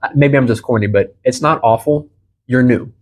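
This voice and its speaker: A man's voice: medium pitch, normal speed, darkish tone, a little hiss or hum. Encouraging American man teaching a class. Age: 30s. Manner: encouraging, explanatory. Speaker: Spanish teacher. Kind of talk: informal group language lesson. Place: small indoor room beside a large monitor.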